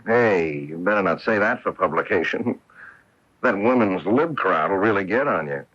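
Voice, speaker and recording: An actor playing an earnest, wistful professional golfer in a radio mystery drama, with a husky voice. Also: male voice, sits low, animated, moderate pace, a bit bright, full character voice. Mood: affectionate, earnest. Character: wistful, earnest male professional golfer recalling his wife. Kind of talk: radio mystery drama acting, narration and dialogue. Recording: dull lo-fi AM radio broadcast.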